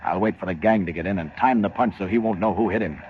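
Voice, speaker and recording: A man's voice: sits low, fast talker, natural voice. A resolute superhero man acted by a man from a 1940s radio adventure serial. He thinks aloud in confident, clipped declarations. The old recording is dull and plainly noisy. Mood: hushed resolve.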